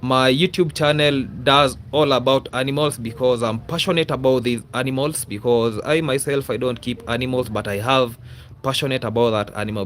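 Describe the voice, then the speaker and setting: A man's voice: mid-range voice, a little hiss or hum. Kenyan man chatting on a livestream. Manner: rambling, repetitive, often adds a questioning "yeah?". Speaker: YouTuber, livestream host. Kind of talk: casual livestream chat to viewers.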